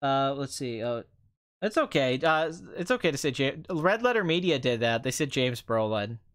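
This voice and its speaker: A man's voice: mid-range voice, big pitch swings, moderate pace, fairly bright tone, clear, resonant tone. A man hosting a casual, rambling live stream as a film commentator, his voice a little strained from hours of talking. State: voice tiring and strained after two hours of talking.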